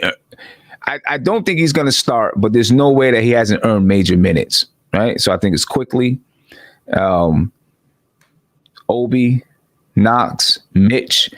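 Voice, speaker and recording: A man talking as a podcast guest, thinking aloud in a measured way and often restarting his sentences. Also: lowish voice, no room sound. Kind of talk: casual sports talk.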